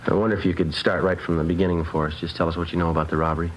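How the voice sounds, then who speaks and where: Guy playing old radio cop, real deep voice, fast n clipped, totally deadpan, all business. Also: natural voice, poor audio. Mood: businesslike. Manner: deadpan, clipped, matter-of-fact. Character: terse, businesslike male police sergeant investigating a case. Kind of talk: 1950s radio drama acting. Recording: old radio recording, faint noise.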